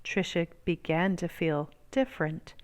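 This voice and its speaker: Clear, smooth-voiced woman reading a story aloud. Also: lowish voice, unhurried. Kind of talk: expressive read-aloud narration.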